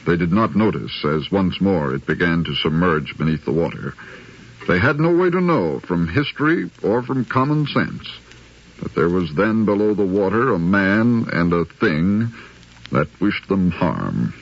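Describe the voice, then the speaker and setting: A man's voice: deep voice, sing-song, measured pace, breathy edge. A man giving dramatic scripted narration in the manner of a 1950s radio drama. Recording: dull 1950s radio recording.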